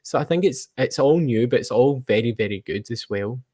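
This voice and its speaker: Male podcast host, informal and jokey, medium pitch, conversational online chat delivery. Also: expressive, moderate pace, warm tone, breathy edge, no room sound, clean studio sound. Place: online call.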